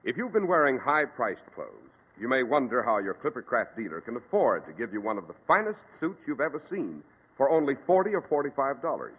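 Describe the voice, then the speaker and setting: Animated American announcer on tinny old radio. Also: male voice, medium pitch, fairly quick. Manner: polished salesman delivery, formal. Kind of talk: 1940s radio announcing and sponsor pitch. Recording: husky, tinny 1940s radio broadcast, reverberant.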